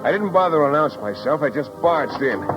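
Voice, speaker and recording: A man's voice: a little high, lively intonation, fairly quick, music in the background, lightly acted. An actor playing a hard-boiled, wisecracking private detective, with wry, clipped tough-guy delivery in a 1950s radio drama style. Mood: tense, narrating. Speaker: lead actor and narrator. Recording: dull old 1950s radio recording.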